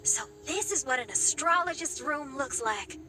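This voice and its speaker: A woman's voice: high voice, animated, moderate pace, over music. A woman voicing a cheerful, curious young girl in an anime game.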